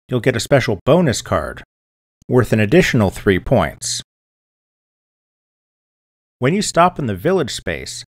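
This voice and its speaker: A man giving scripted instructional narration in a clear, methodical, step-by-step manner, as a tutorial presenter. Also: medium pitch, dry recording, clean studio sound. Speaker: board game tutorial presenter.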